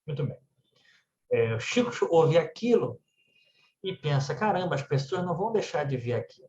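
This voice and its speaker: A man's voice: a little high, very animated, normal speed, a little mellow. A man with a Brazilian Portuguese accent giving a religious talk as conversational anecdotes, often tagging his phrases with little check-in words. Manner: conversational storytelling, frequently adding "né" tags. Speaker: speaker at a spiritist centre. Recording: echoey room, dull muffled sound.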